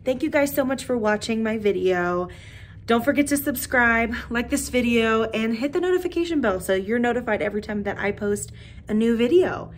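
A woman's voice: fairly high pitch, moderate pace, slight background noise. Cheerful young woman vlogging her trip. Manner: cheerful, chatty, excitable. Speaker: travel vlogger. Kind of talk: casual travel vlog narration. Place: outdoors in snow, in a car, hotel room. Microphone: phone held at arm's length. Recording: music playing under the voice. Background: background music.

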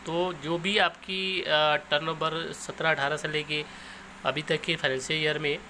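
A man's voice: a little high, level tone, unhurried, sharp tone, slight background noise. A man giving an informal explainer, going over points step by step. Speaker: YouTube explainer host.

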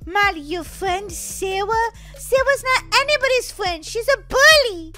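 A woman's voice: extremely high pitch, animated, a little slow, music in the background. A woman voicing a sassy, sceptical little girl with a childlike, chiding manner in a gaming video. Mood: scolding.

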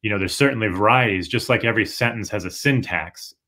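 A man's voice: on the low side, quick pace, pushed and bright. A young man co-teaching an online writing workshop, explaining patiently and hedging with "sort of" and "kind of", over a webcam. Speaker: writing instructor. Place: room at home. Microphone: webcam video call.

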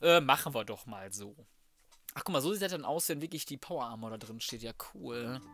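A man's voice: medium pitch, animated, brisk, a bit bright. A man giving casual, colloquial let's play commentary, swearing and reacting aloud as he plays. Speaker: gaming YouTuber. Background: video game sound and music.

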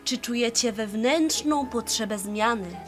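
Woman acting a high-pitched, bossy young high priestess. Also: unhurried, music in the background, close to an everyday voice. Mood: solemn, ceremonial. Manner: mock-solemn incantation breaking into irritated scolding. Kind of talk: amateur theatre-group audio drama.

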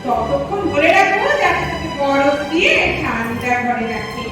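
A woman playing a chatty, teasing friend in a staged audio play, with expressive, bantering delivery. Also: sits high, normal speed. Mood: playfully mocking. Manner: bantering, playfully mocking, expressive. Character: teasing, chatty female friend joking about old age and death. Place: stage in a reverberant hall. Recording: echoey hall from far off, music behind.

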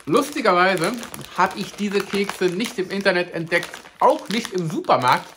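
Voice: medium pitch, animated, moderate pace, a bit bright, slight background noise. A food vlogger giving a casual, upbeat snack review to camera, chatty and playful, joking about their own pronunciation. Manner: chatty and upbeat, addresses viewers as "ihr Lieben".

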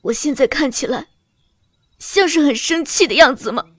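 A woman's voice: high-pitched, moderate pace, dry recording, natural voice. A woman voicing a playful, sly young princess consort in a costume romance audiobook, theatrical, moving between meekness and glee. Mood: gleeful, teasing. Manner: theatrical, switching between meek and gleeful.

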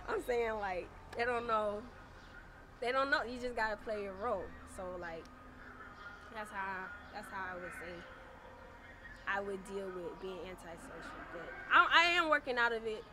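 A young woman rapper in her twenties, talking casually and soft-spoken with laid-back slang, interviewed outdoors beside a parked car. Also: mid-range voice, normal speed. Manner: soft-spoken, laid-back slang, frequent "you know what I'm saying". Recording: outdoor street noise.

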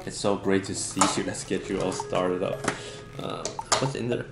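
A man's voice: low-pitched, steady tone, normal speed, creaky. A man in his thirties doing a casual, unscripted gadget unboxing as a vlogger. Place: small home dining room, indoors. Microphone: camera on the table or at arm's length. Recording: echoey room, music in the background.

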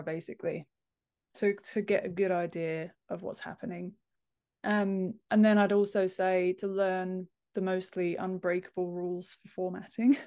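Casting: woman, thirties, mid-pitched mellow voice, podcast guest explaining on a video call. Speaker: podcast guest, book formatter. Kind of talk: explanatory interview answers. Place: home office, indoors, on a video call. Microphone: wired earphones on a video call.

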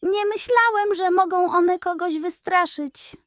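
A woman's voice: very high-pitched, a little slow, dry recording, voice pushed up. A woman voicing an eager, sheepish young male badger inventor in a children's bedtime story. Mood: apologetic. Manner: enthusiastic, sheepish.

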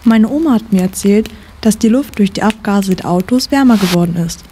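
A woman's voice: mid-range voice, lively intonation, brisk, warm tone, a little hiss or hum, lightly acted. A woman playing a practical, knowledgeable young forest survivor in an amateur audio drama. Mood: matter-of-fact.

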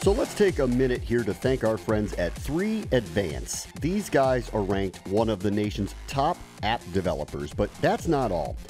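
A man's voice: lowish voice, very animated, normal speed, few pauses, music in the background. A man hosting a podcast, introducing guests and reading sponsor spots in an upbeat way. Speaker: podcast host.

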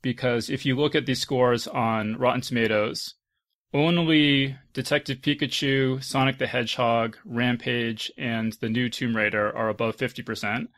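A man's voice: medium pitch, steady tone, normal speed, fairly bright tone. A man hosting a casual podcast discussion and steering the conversation. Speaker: podcast host.

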